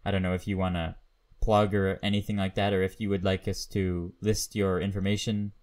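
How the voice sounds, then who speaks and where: A man's voice: low voice, level tone, fairly quick, darkish tone. A man hosting a podcast interview in an informal, agreeable way with slightly hesitant phrasing. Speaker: podcast host. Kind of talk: casual interview.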